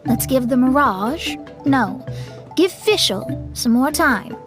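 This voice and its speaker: An actress voicing a composed young woman adventurer who reasons aloud in a measured way. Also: high voice, animated. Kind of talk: game voice acting. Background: music.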